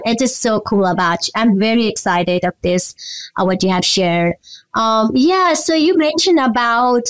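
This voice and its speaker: A woman's voice: mid-range voice, no echo, rough recording. A woman from Nepal hosts an interview with eager enthusiasm. She rambles as she builds up to her questions, and her voice sounds close and dry. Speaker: podcast host. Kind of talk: interview chat.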